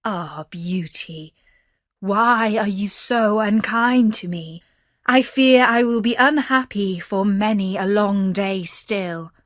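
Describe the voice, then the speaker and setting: Woman voicing a slow, tender young fairy-tale prince. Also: medium pitch, no echo, studio quality, lightly acted. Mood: sad, pleading. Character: gentle lovelorn young prince in a dream.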